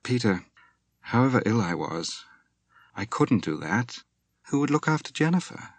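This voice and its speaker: A man voicing a young wife and mother who is anxious and protective, her speech pleading and rising to sharp anger. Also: mid-range voice, very animated, moderate pace, dry recording, voice pushed up.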